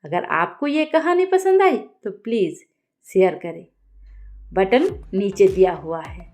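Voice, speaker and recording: A woman narrating a scripted story as a storyteller, in an expressive and deliberate manner. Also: medium pitch.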